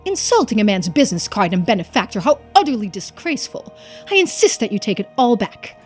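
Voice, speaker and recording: A woman's voice: mid-range voice, big pitch swings, a little fast, slightly rough, soft background music. A woman voicing a haughty, easily offended businessman with pompous, formal manners in a video game. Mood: indignant, haughty. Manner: pompous, formal, indignant phrasing. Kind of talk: video game character voice-over.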